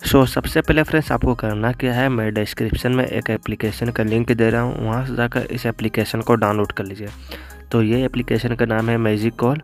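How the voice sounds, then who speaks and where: Flat-toned young man, tutorial host. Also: medium pitch, brisk. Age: young adult. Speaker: tech tutorial video host. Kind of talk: step-by-step app tutorial narration. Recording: music bed behind voice. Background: background music.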